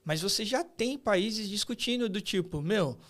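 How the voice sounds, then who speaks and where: A Brazilian man in his late thirties with a fairly high voice for a man. He explains things like a podcast guest, in colloquial, emphatic phrases. He keeps checking in with rhetorical questions. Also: expressive, a little slow, fairly bright tone. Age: late 30s. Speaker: podcast guest, artificial intelligence expert and professor. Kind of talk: conversational explanation in an interview.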